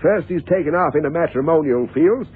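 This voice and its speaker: An actor playing a chatty small-time private investigator with a glib, colloquial manner in an old radio drama. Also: male voice, on the high side, a little fast, close to an everyday voice. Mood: businesslike. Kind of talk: 1970s radio drama acting. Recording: tinny, noisy old radio recording.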